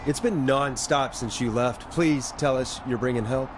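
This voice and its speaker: A man playing an earnest, caring late-night radio host in a measured, dramatic style. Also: medium pitch, over music, natural voice. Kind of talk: dramatic game voice acting.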